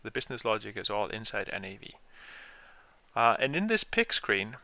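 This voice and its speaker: A man explaining software step by step in an informal screen-share tutorial, as the trainer. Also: on the low side, big pitch swings, moderate pace, dry recording.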